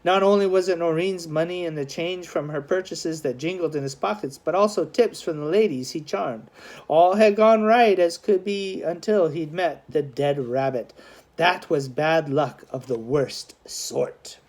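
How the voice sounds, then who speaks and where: Lower-pitched woman reading a children's novel. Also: measured pace, a little mellow. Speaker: audiobook reader. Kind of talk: expressive scripted narration of a children's historical novel.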